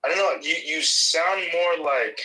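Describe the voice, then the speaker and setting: Man, faint mexican accent, very bright tone, stammering restarts, video call, slight radio sound. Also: on the high side, normal speed, rough recording. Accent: faint Mexican Spanish. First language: Spanish. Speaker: video chat participant. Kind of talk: casual video chat.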